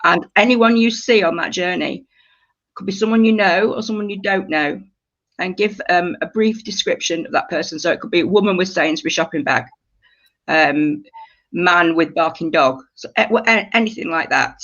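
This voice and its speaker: A woman's voice: lowish voice, moderate pace, a bit bright, lo-fi sound. A woman leading an informal writing workshop, giving encouraging instructions and explaining things through examples. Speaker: creative writing workshop leader.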